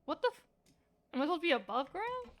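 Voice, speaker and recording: A woman giving casual, expressive live commentary as a game streamer, often reacting and muttering to herself. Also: very high voice, quick pace.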